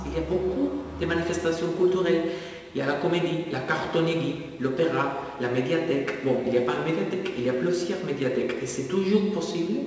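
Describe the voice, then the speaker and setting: Young man, documentary interview, hesitant, searching for words, distant mic, long hall reverb. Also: on the high side. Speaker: international master's student. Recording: echoey hall, mic far away.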